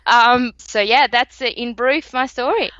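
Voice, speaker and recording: Woman coach from Sri Lanka, guest; bright, crisp tone, dull dry remote sound. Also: a little high, unhurried, no echo. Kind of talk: podcast guest interview.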